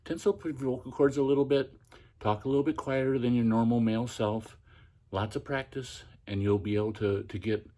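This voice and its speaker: A man hosting a podcast, talking in a practiced feminine voice that is quiet and soft, with the pitch lifted by tightening his throat. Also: steady tone, a little fast. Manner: pitch raised by tensing the throat. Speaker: podcast host. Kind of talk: casual instructional monologue.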